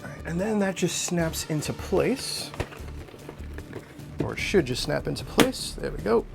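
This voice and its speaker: Man, unboxing video host, slightly high voice, casual unscripted chat, enthusiastic, faint music behind. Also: lively intonation, unhurried, creaky.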